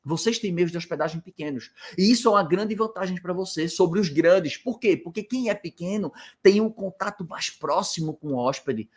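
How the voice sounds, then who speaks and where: A man with a Brazilian Portuguese accent who teaches informally to camera from indoors in a rental house, explaining a point and then answering his own rhetorical questions. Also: high voice. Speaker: hospitality business coach.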